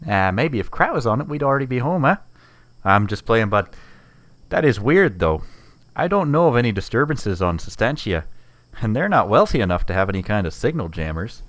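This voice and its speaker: A man playing a wry, casual mercenary engineer in a sci-fi audio drama, talking in a conversational, animated way. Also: medium pitch, a little fast, no room sound. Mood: teasing.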